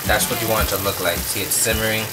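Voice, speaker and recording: A Puerto Rican man hosting a home cooking show, walking through a recipe step by step in a relaxed, chatty way. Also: lowish voice, quick pace. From Puerto Rico. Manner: laid-back, chatty step-by-step instructions. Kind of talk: casual cooking demonstration. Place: home kitchen. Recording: distant mic under loud music.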